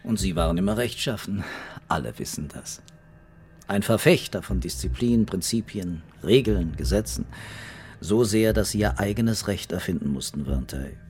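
Low-voiced wry detective guy, calm but relentless, accusing, radio crime play. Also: slight background noise. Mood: wry, controlled. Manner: deliberate, ironic, accusing. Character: calm, wry, relentless male police investigator. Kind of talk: German radio crime drama acting.

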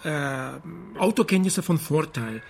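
An actor playing a sardonic, unflappable private detective in a German radio drama, dry and ironic. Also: male voice, on the high side, animated, a little slow. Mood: businesslike. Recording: faint background noise.

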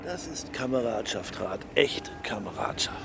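A hearty, coarse male police inspector in a radio drama. He is a chummy old soldier with a mid-pitched voice. Urban outdoor noise is clearly audible behind him. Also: expressive, a little slow. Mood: chummy storytelling. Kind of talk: German radio drama acting.

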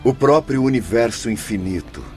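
A man's voice: medium pitch, expressive, moderate pace, darkish tone, faint music behind, lightly acted. An actor playing an earnest, patient young male spirit guide, explaining in a formal, didactic radio drama style.

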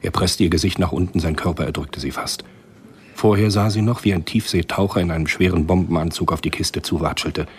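A man narrating a spy thriller radio drama in a measured, literary storytelling manner. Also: low-pitched, a little hiss or hum, close to an everyday voice. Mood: grave, measured.

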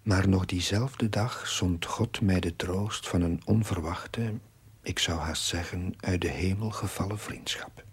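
An actor playing an earnest, troubled young chaplain who narrates his diary in formal, measured phrases with a husky, breathy voice in a television drama. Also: low-pitched, exaggerated voice. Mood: solemn, reflective. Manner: measured, solemn, formal literary diction. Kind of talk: scripted dramatic voice-over narration.

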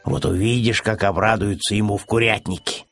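A man's voice: fairly low pitch, fairly even intonation, fairly quick, a bit bright, soft background music, pitched below the performer's own voice. A man voicing a kindly old farmer in a children's storybook, speaking in a soothing, patient manner. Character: Pettson, kindly calm old male farmer.

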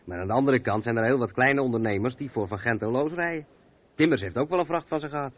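An actor the playing a seasoned, patient truck driver who explains things matter-of-factly in a radio play. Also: male voice, medium pitch, quick pace, close to an everyday voice. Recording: tinny, noisy old radio recording.

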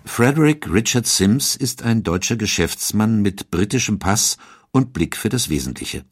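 A man narrating a scripted historical documentary in a storytelling manner with deliberate emphasis. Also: on the low side, very animated, slightly rough, no echo, clean studio sound.

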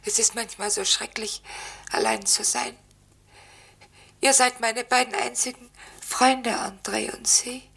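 A woman's voice: on the high side, fairly even intonation, measured pace, creaky, fairly bright tone. An actress playing a lonely, fragile woman confiding in a radio drama. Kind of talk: German literary radio drama.

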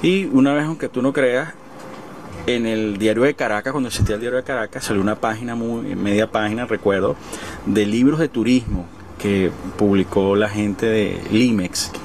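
A man in his forties with a Venezuelan accent, casually recalling things in an interview, halting and repeating words. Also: on the low side, darkish tone, a little hiss or hum. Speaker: bookseller being interviewed.

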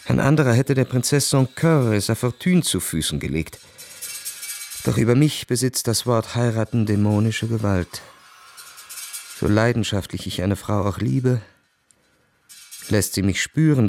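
An actor playing a cynical, world-weary young officer narrating his diary in a cool, measured, introspective way. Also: fairly low pitch, expressive, a little mellow, slight background noise. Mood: bitter, brooding. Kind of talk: literary radio drama narration, diary-like first person.

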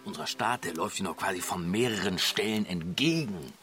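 An actor playing a sardonic, hard-drinking husband in a radio drama, talking in a mocking, wry way. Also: male voice, low voice, a little fast, fairly bright tone, soft background music. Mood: mocking amusement. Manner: mocking, wry, drawn to dry remarks. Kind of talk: naturalistic German radio drama acting.

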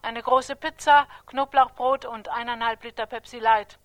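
An actress playing a stern, accusing chronicler in a stylized radio drama, reciting in measured, emphatic declarations. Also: female voice, a little high, fairly even intonation, no room sound, natural voice. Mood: cold reporting. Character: stern, accusing female chronicler of a terrorist's life.

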